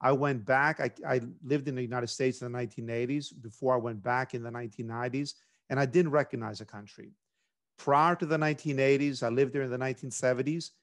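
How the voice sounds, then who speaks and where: A middle-aged man from Greece, a government instructor, giving a measured academic lecture over a video call. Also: medium pitch, steady tone.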